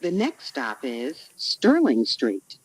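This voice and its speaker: A woman reading scripted transit announcements as a train announcer, in measured, separate phrases. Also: a bass voice, huge pitch swings, no echo, rough recording. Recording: recorded train announcement with clear noise.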